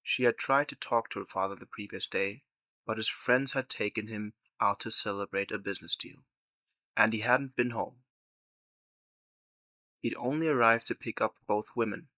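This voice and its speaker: A man's voice: lowish voice, moderate pace, bright, forceful tone, no echo. A man reading scripted narration for an audio drama as its narrator.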